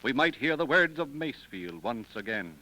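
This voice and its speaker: A man's voice: medium pitch, sing-song, normal speed, heavy background noise. A man narrating in the theatrical, emphatic style of a 1930s radio drama. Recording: old 1930s radio broadcast, music behind. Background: orchestral dramatic music.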